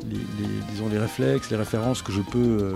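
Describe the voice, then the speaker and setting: A middle-aged man talking on the radio about songs he loves, thoughtful and pausing often to find his words. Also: lowish voice, steady tone, gravelly, over music. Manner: thoughtful, searching for words, many pauses and restarts. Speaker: radio guest, broadcasting executive. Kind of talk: reflective radio talk about favorite songs.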